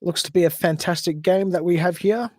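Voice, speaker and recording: Chatty Australian man, higher voice. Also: steady tone, a little fast, a little mellow, no echo, clean studio sound. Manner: chatty, rambling with self-corrections. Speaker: podcast host. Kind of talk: solo livestream chat.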